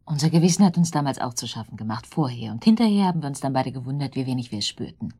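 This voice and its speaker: An actress playing a cold, resolute wife plotting murder in a German radio drama, intense and decisive, with a breathy, husky voice that often drops to a whisper. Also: female voice, very deep voice, lively intonation, very fast, mellow, exaggerated voice. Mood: hard, defensive.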